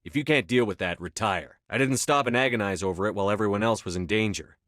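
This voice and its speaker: Video game military commander, male actor: low-pitched man, dry studio sound, resolute, measured, quietly authoritative. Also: no echo, close to an everyday voice. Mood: firm, commanding. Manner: measured, firm, clipped military delivery. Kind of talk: scripted video game dialogue.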